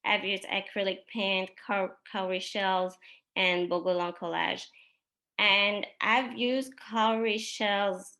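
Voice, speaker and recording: A woman whose first language is French, possibly from Mali, presents her own artworks in an unhurried talk, pausing often with ums. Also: mid-range voice, steady tone, bright, forceful tone. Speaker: exhibiting artist.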